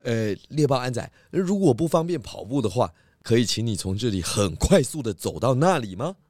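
A man voicing a calm, methodical pig detective who patiently leads with questions in a children's audio drama. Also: medium pitch, no echo, clean studio sound. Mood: polite, probing. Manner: measured, patient, leading with questions.

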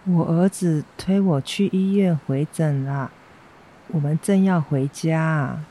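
A woman with a Taiwanese accent playing a gentle, apologetic mother recovering from surgery, speaking tenderly in an educational radio drama. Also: deep voice, takes it slowly. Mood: concerned. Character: gentle, apologetic convalescing mother of a teenage boy. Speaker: amateur voice actor, schoolteacher.

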